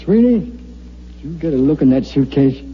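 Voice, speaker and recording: Wry, brusque newspaperman acted by a man, high-pitched. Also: lively intonation, moderate pace, slight background noise, close to an everyday voice. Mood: intrigued. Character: seasoned, stubborn, wry newspaper editor called Pop. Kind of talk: old-time radio drama acting. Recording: muffled old-time radio recording.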